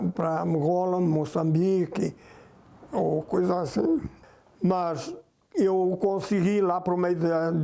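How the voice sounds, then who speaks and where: An older man from Portugal, recalling his past in an interview in a halting way, repeating and restarting words. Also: a little high, vocal fry, mellow.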